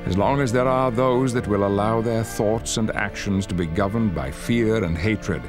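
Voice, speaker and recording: A man's voice: on the low side, moderate pace, close to an everyday voice. A man playing a grave, dignified leader of superhero mutants, delivering solemn, portentous dramatic narration for a video game. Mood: solemn, resolute. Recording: music under the voice. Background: music.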